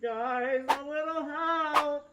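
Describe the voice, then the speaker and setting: A woman's voice: high voice, very slow, very noisy. A woman playing a whiny, cowardly, melodramatic bandit in a radio play. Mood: whining, panicky.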